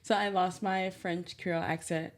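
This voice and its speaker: A woman with a light New Jersey accent co-hosting a podcast. She chats in a playful, animated way and laughs often. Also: on the low side, moderate pace. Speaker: podcast co-host. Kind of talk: casual chat.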